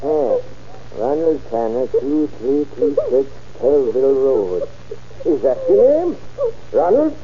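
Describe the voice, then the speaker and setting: A man's voice: mid-range voice, big pitch swings, takes it slowly, slight background noise. An actor playing a kindly middle-aged patrolman who stammers and coaxes a lost boy, in 1940s radio drama style. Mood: coaxing. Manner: stammering, coaxing and fatherly. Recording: dull old radio recording.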